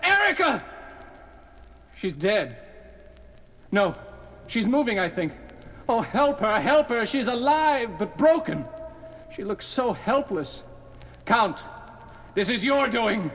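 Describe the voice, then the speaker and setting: A frantic young nobleman-scientist on a vintage story record, pleading and overwrought. His high voice rises and falls widely, and he drags his lines out slowly. A loud score plays underneath. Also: big pitch swings, heavily acted. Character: young male baron scientist, frantic and desperate. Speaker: hero. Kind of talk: 1970s record-album audio drama. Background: dramatic music score.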